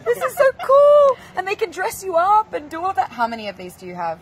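A woman's voice: very high-pitched, huge pitch swings, brisk, slight background noise. A young woman hosting an entertainment show, gushing and enthusiastic, laughing often during a celebrity interview. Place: outdoor plaza set with passersby.

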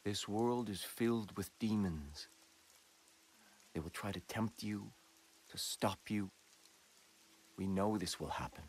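A man playing a solemn, messianic doomsday cult leader in a video game, preaching in grave, deliberate, rhetorical monologues. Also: on the low side, a little mellow, husky, full character voice. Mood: grave, prophetic. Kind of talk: dramatic sermon and broadcast monologue.

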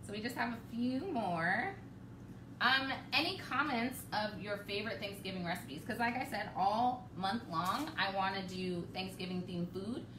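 A woman in her thirties hosting a live home cooking demo, chatty and relaxed, laughing often. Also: mid-range voice, normal speed. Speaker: cooking show host. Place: home kitchen, indoors. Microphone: camera across the kitchen. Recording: roomy, distant, noisy home kitchen.

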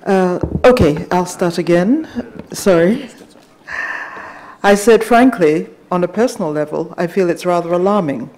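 Woman, soft voice, deep, halting with fillers, conference table mic. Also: rough recording. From Ghana. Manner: measured, hesitant with frequent fillers. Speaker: conference panelist. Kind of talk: panel discussion remarks. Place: large conference hall. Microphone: table microphone.

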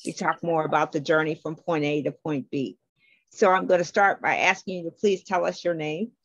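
A woman's voice: deep voice, steady tone, moderate pace, no echo. Woman host, encouraging questions, dry, muffled lo-fi recording. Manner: encouraging, open-ended questions. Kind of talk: interview host. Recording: dry, dull lo-fi sound.

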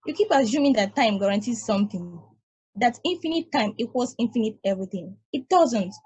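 A woman voicing an ancient, weary space probe, reading calmly and explaining at length in a table read over a video call. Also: fairly high pitch, brisk, husky, no echo, rough recording. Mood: lecturing. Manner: calm, reasoning, explaining at length.

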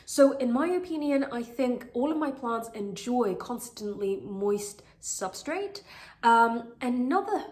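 A woman's voice: on the high side, expressive, slow, a little mellow. Earnest plant vlogger woman, recovering voice. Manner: chatty, earnest, with frequent fillers. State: voice still recovering from illness. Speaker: houseplant channel host. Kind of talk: casual product review talking to camera. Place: bright living room full of plants. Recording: roomy, echoey home room.

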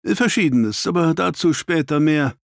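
Audiobook detective, acted by a male reader: brusque, commanding, angry at himself, pitched up, dry studio sound. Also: lively intonation, moderate pace, creaky, no echo. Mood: self-reproaching. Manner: clipped, brusque, authoritative. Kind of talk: dramatised audiobook reading.

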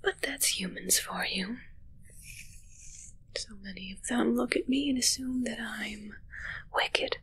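A young woman playing a haughty, morbidly playful witch in an ASMR roleplay, whispering slowly and breathily in a musing, gently critical way close to the mic. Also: on the high side, gravelly, husky, full character voice. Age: young adult. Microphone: close microphone, seen at close range.

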